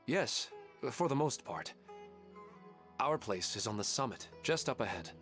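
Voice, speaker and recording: A man's voice: mid-range voice, sing-song, measured pace, a bit bright, soft background music. A man playing a grieving widowed father in a video game, polite, reflective and hesitant. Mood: fond, wistful.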